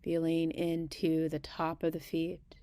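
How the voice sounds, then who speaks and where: A woman guiding a meditation in a gentle, unhurried manner, leaving long pauses between short phrases. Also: low voice, completely flat delivery, smooth, dry recording. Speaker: meditation guide. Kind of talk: guided body scan meditation.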